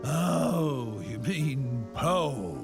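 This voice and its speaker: A man voicing a slow, rambling talking tree in a video game cutscene. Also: mid-range voice, expressive, vocal fry, heavily acted. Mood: slow, friendly. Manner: hesitant, rambling. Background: game music.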